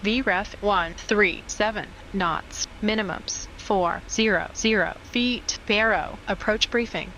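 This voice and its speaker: A woman reading cockpit checklist items in short, measured callouts. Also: mid-range voice, a bit bright, slight background noise, poor audio.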